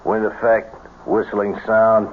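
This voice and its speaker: An actor playing an eager, excitable accomplice to a swindler in an old-time radio drama. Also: male voice, fairly low pitch, slow. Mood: questioning. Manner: excitable, impressed, questioning. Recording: noisy, tinny old radio broadcast.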